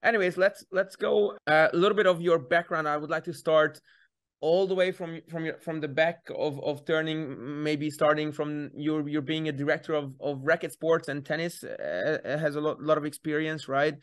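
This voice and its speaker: A man's voice: a little high, moderate pace. A man from Hungary hosting a podcast in a casual, friendly way, rambling a little and restarting his sentences. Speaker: podcast host. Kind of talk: casual interview chat.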